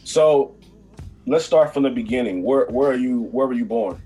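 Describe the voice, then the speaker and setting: A man hosting a podcast interview, talking in a casual, halting way with many fillers and restarts. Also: medium pitch, dark tone, faint music behind, rough recording. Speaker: podcast host.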